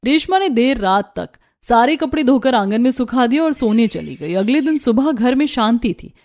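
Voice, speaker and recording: A woman's voice: fairly high pitch, lively intonation, normal speed, darkish tone. A woman narrating a scripted Hindi cartoon story as its storyteller.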